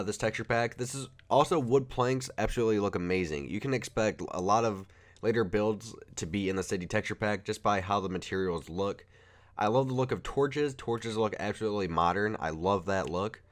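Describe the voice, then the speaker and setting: A young man with a cold, giving casual, rambling commentary while reviewing a game texture pack at home. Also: lowish voice. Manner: rambling, filler-heavy, easygoing. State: has a cold.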